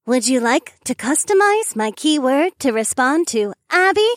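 A woman's voice: high voice, very animated, measured pace, dry recording, studio quality. A woman voicing a placid, upbeat female virtual assistant with an automated, helpful, formal delivery in an audiobook. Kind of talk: scripted audiobook character acting.

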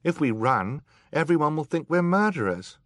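A man's voice: a little high, very animated, moderate pace, fairly bright tone, no room sound, clean studio sound, voice pushed up. A man voicing a conscientious, sorrowful eldest sister, a young girl, with an earnest manner in an audiobook. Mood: troubled, resolute.

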